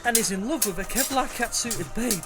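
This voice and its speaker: An actor playing a flippant, irreverent young mercenary chancer, bantering in an audio drama. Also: male voice, high voice, a little fast, over loud music, natural voice. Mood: eager, chatty.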